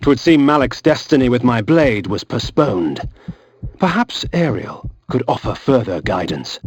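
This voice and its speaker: An actor playing a brooding, aristocratic vampire who narrates with solemn, archaic, theatrical diction. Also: male voice, medium pitch, sing-song, measured pace, slightly rough, no room sound. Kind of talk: scripted gothic game narration.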